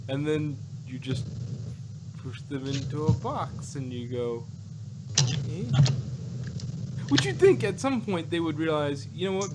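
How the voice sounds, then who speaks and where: Unhurried, wry man over background noise. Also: a little high, animated. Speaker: podcast co-host. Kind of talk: casual review chat.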